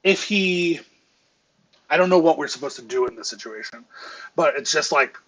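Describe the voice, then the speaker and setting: A man's voice: fairly high pitch, animated, moderate pace, a bit bright, slightly rough, rough recording. A man on a live stream reviewing his team's game footage, talking in a rambling, analytical way with many restarts, and he says he is losing his voice. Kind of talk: casual live-stream film breakdown.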